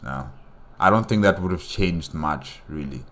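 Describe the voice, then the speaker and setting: Man, very low pitch, casual reaction commentary, halting delivery, repeats himself. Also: a bit bright. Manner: halting, repetitive, often says "you know". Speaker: reaction video host.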